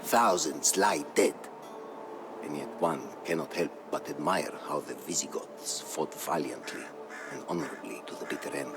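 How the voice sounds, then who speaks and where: Solemn Berber chronicler, very low voice, measured dramatic game narration, proud and formal, loud music behind. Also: male voice, animated, creaky, full character voice. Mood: grave, somber. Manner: grave, measured, formal storytelling. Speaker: campaign story narrator. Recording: studio voice-over with music behind.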